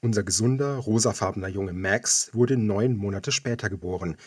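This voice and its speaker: A man narrates an audiobook novel in the first person. His voice is fairly low and husky, recorded dry and close. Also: normal speed, no room sound.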